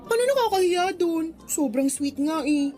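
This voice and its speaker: A woman playing an excitable, admiring young student best friend in a radio drama, with a Filipino accent and a gushing, teasing way of talking. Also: very high-pitched, moderate pace, faint music behind. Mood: teasing, dreamy.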